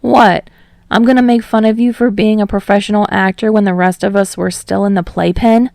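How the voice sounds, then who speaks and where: A woman's voice: mid-range voice, fairly even intonation, fairly quick, creaky, darkish tone, dry recording, studio quality, close to an everyday voice. A woman voicing an easygoing, teasing young man in a casual, friendly way for a story reading.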